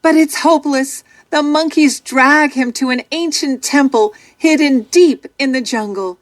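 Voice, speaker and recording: A woman narrating a children's storybook from a script in an expressive, dramatic storytelling manner. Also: high-pitched, unhurried.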